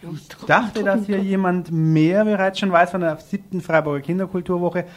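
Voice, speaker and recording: Male radio news host, slightly high voice, dry studio sound, informal presenting. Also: steady tone, normal speed, dry recording.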